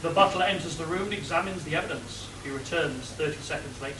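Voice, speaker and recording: A man narrating an amateur radio play in a steady, plain storytelling manner. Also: a little high, quick pace. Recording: roomy, distant, audible hiss.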